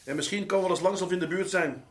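A man playing a gruff, fed-up older working-class husband in a Dutch radio drama, talking in a blunt, colloquial, dismissive way. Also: high-pitched, very fast.

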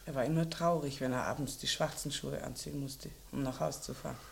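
Widow, composed, wistful, gently candid, creaky voice, radio play, faint noise. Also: female voice, extremely low pitch, fairly even intonation, fast talker. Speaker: actress in a radio crime drama. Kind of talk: German radio play acting.

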